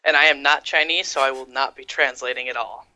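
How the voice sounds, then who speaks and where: A man's voice: medium pitch, fairly even intonation, fairly quick, sharp tone, poor audio. A man co-casting an esports match as an upbeat, joking partner. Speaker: esports caster. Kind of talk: fan esports commentary.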